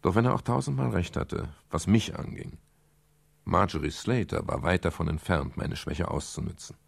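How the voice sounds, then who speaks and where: An actor playing a world-weary private detective in a German crime radio play, wry and measured, with a gravelly, husky voice. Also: male voice, low-pitched, animated, exaggerated voice. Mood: reflective. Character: world-weary male private detective narrating his case. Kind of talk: German crime radio play, first-person narration and dialogue.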